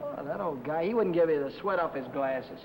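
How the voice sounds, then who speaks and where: An actor playing a restless young auto mechanic short of money, with an American accent and breezy, slangy talk in old crime film dialogue. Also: male voice, fairly high pitch, animated, brisk, a little mellow. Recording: noisy old 1950 film soundtrack.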